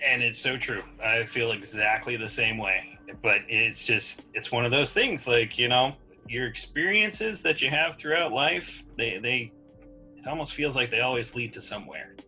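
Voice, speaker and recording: A man speaking as a guest in a casual video chat, calm and unhurried. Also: medium pitch, soft background music, poor audio.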